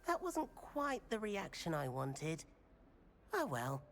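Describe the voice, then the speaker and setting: A man's voice: high voice, huge pitch swings, takes it slowly, vocal fry, fairly bright tone, slight background noise, heavily acted. A man playing a cheeky, easygoing teenage boy prankster at a wizard school in a game, playful and breezy. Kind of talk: scripted game dialogue.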